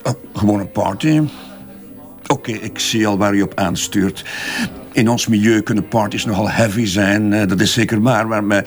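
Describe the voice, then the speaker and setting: A man's voice: lowish voice, fairly even intonation, moderate pace, close to an everyday voice. A man with a Flemish accent playing a defensive advertising boss under questioning in a crime drama, hesitant and evasive, often backtracking. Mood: evasive, sheepish. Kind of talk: radio crime drama dialogue.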